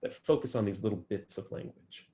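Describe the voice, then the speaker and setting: Low-pitched male academic, thoughtful, hesitant delivery with frequent fillers. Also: fairly quick, mellow, slight background noise. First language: English. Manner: thoughtful, many ums and restarts. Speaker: guest researcher. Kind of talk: academic interview answers.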